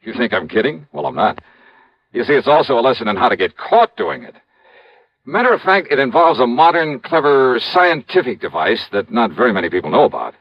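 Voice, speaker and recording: A man's voice: mid-range voice, sing-song, fairly quick, no room sound. An actor playing a wry, tough insurance investigator who narrates his cases in 1950s radio detective style. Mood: confiding, teasing. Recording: dull vintage radio recording.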